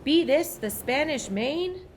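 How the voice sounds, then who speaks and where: A woman's voice: high voice, lively intonation, takes it slowly, slight background noise, pitched above the performer's own voice. A woman voicing a blustery, bellowing male pirate captain in a storybook read-aloud, with hearty, commanding pirate talk. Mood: hearty, loud.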